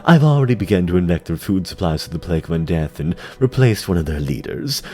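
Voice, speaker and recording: A man voicing an imperious, scheming demon dreadlord in a fantasy audio drama, speaking in a measured, mockingly deferential way. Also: deep voice, expressive, darkish tone. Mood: scheming, smug.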